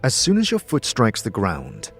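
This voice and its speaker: A man delivers dramatic scripted narration in the style of a game master. His voice sits fairly low and his storytelling is measured and suspenseful. Soft music runs behind him. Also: huge pitch swings, gravelly.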